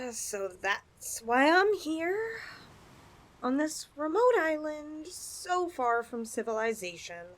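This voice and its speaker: Woman voicing a high, lilting, possessive lamia girl. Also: sing-song, takes it slowly, exaggerated voice. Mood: explaining, wistful. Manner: coaxing, playful, dramatic swings in delivery. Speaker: audio roleplay performer. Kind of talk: ASMR roleplay, acted monologue addressed to listener.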